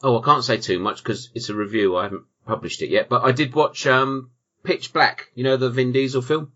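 A British man with a bright, forceful voice hosts a casual film podcast. He banters in a dry, jokey way and swears freely. The audio is slightly dull and lo-fi. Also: mid-range voice, fairly even intonation, brisk. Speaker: podcast host.

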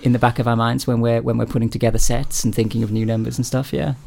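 Give me a man with a gravelly voice, chatting hesitantly as a radio guest. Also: medium pitch, level tone, quick pace, warm tone. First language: Welsh. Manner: hesitant, many fillers and restarts. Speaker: band member guest. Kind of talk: casual radio chat.

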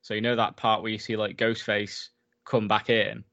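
A man's voice: on the low side, little pitch movement, normal speed, vocal fry, sharp tone, muffled recording. A man in a casual film recap chat, enthusiastic and talkative, laughing often as he goes. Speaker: podcast co-host.

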